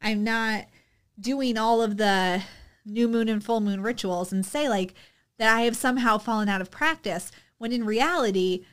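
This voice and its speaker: Woman podcast co-host, casual chat, unhurried and reflective, easygoing. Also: fairly high pitch. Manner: relaxed, reflective, hesitant with many fillers.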